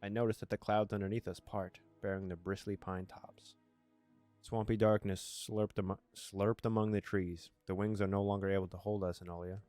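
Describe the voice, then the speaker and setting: Low-voiced man playing and reading a game. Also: level tone, moderate pace, a little mellow, no echo, soft background music. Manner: stumbles over words while reading, self-correcting. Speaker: gamer reading a game aloud with commentary. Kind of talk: casual let's play, reading narration aloud and reacting.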